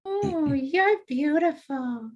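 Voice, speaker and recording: A woman playing a very high-voiced, childlike young patient. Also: lively intonation, extremely slow, highs cut off, heavily acted. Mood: awed. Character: childlike, excitable, admiring young female psychiatric patient. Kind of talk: live screenplay table read.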